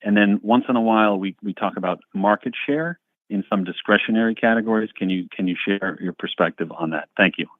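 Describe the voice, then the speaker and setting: Male analyst caller, low voice, conversational and slightly halting, asking a business question down a narrow phone line. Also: dry recording. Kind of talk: spontaneous question on a business call.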